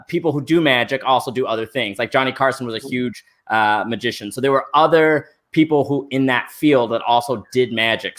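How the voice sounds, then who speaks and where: A man's voice: mid-range voice, a bit bright. Casual rambling man. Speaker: magician guest. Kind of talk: live-stream interview.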